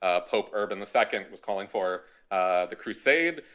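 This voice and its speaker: A man's voice: fairly low pitch, expressive, moderate pace, fairly bright tone. A man speaking as a panelist in a large auditorium, giving an academic talk from a podium microphone with many fillers and restarts.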